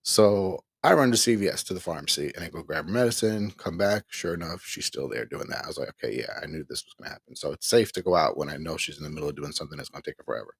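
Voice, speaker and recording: A man's voice: very deep voice, expressive, fast, vocal fry, few pauses, slightly rough, no echo. A tired man hosting an online ministry show, telling a candid, rambling personal story from home with frequent laughs. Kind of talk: casual personal testimony.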